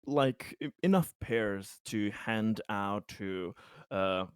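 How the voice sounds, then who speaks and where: A man's voice: fairly low pitch, slow, clean tone, dry recording, studio quality. A man playing a tabletop roleplaying game, chatting casually in hesitant, stop-and-start phrases and easily amused. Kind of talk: casual improvised game chat.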